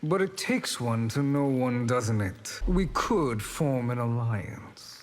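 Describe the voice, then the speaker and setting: A scheming sorcerer from a fighting game. He talks very unhurriedly at a middle pitch, sly and calculating in every phrase. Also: expressive, slow pace. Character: smooth-talking scheming male sorcerer villain, sly. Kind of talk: video game fight intro acting.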